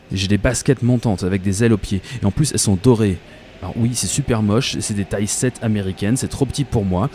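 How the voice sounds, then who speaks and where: A man's voice: lowish voice, dark tone, slight background noise, natural voice. A man playing a dreamy, obsessive commuter who narrates a radio fiction in a colloquial, wry, confiding way. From Paris, France. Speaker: narrator and protagonist. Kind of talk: first-person radio fiction monologue.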